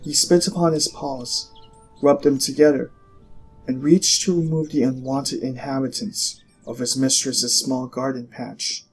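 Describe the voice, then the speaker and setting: A man reading scripted story narration in a measured, expressive way with deliberate pauses. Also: mid-range voice, poor audio. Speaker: audiobook narrator. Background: music.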